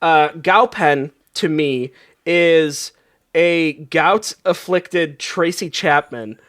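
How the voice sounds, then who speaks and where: High-voiced young man chatting laughingly. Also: drawn-out words, fairly bright tone. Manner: laughing, conversational. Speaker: comedy podcast host. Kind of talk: improvised comedy riffing.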